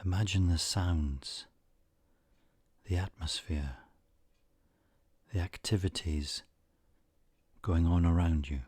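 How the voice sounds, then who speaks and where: A man narrating a scripted guided meditation in a soothing, measured manner, leaving frequent pauses between short phrases. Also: low voice, dark tone, slightly rough, dry recording.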